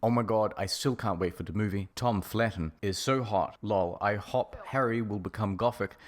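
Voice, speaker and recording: A man narrating a comic dramatic reading of fan fiction in a deadpan manner. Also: on the low side, moderate pace. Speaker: story narrator.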